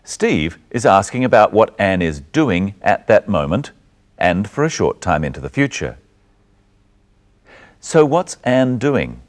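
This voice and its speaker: Man, English teacher, scripted narration, very animated pitch, fairly low voice, deliberate explaining. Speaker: English lesson presenter.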